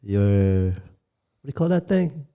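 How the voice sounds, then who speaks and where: A man's voice: on the low side, very animated, slow pace, mellow. A man co-hosting a casual podcast, easygoing and teasing in conversation. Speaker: podcast co-host. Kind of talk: casual chat.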